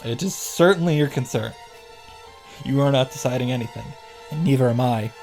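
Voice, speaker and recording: A man's voice: mid-range voice, fairly quick, a little mellow, soft background music. A man giving a sarcastic, profane recap and commentary on a visual novel, as the host of a video. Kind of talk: game recap with commentary, reading lines aloud.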